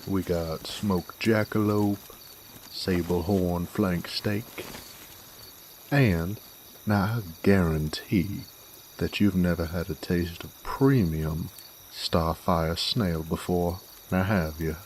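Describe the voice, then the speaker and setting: Deep, slow-drawling Southern cowboy rogue, acted by a man. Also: a little mellow, slight background noise. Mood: sly, flirtatious. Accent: American Southern. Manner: drawling, folksy, teasing. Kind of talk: scripted roleplay audio drama.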